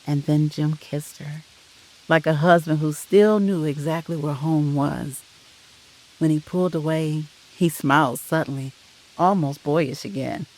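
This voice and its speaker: A narrator reading a scripted audio drama in a solemn, sentimental, deliberate way. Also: medium pitch, steady tone, darkish tone, rough recording.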